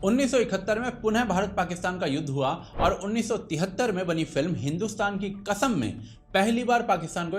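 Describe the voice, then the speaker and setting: A man with an Indian accent presenting a scripted explainer as host, in an emphatic, opinionated storytelling manner. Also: high-pitched. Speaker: host of a video essay.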